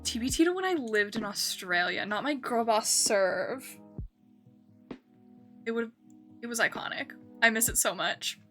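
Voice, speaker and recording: Bright, chatty young woman streamer. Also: fairly high pitch, animated, measured pace, long pauses, soft background music. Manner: rambling, chatty, self-correcting with many fillers. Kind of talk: casual chat while playing a building game.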